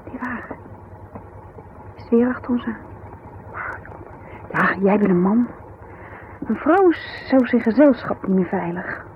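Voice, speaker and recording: A woman's voice: on the high side, expressive, unhurried, pauses often. An actress playing a restless, needling wife in a 1970s radio drama, pointed and exasperated in her delivery. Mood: uneasy, needling. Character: restless, nagging wife, scornful and fearful, urging escape. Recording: thin phone-like vintage radio, faint hiss.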